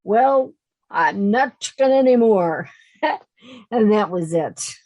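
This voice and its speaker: A mature widow, acted by a woman, speaks in a medium-pitched voice. She is plain-spoken and emotional, torn between fondness and anger, in a stage drama. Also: expressive, slow, a little mellow. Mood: fond remembrance. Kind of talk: stage play reading.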